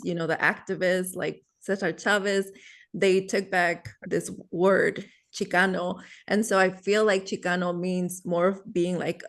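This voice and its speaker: A woman, a guest in a casual interview, explaining in a measured way. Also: lowish voice, creaky.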